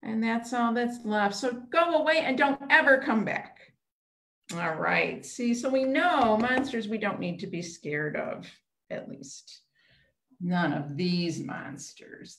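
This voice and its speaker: A middle-aged woman leading an interactive storytime for young children, asking simple questions in short phrases over a video call. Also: mid-range voice. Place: small room at home, indoors. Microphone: computer mic on a video call, a step away. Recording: roomy echo, webcam video call.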